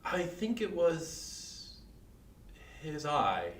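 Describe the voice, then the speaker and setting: In an echoey room, away from the mic, a man acts out an unhinged Victorian horror murderer. His slightly high voice swings widely as he stammers and presses nervously to prove his sanity. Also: huge pitch swings, slight background noise, heavily acted. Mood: haunted, obsessive. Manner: halting, stammering, emphatic. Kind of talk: dramatic reading of Victorian horror. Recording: echoey room, distant microphone.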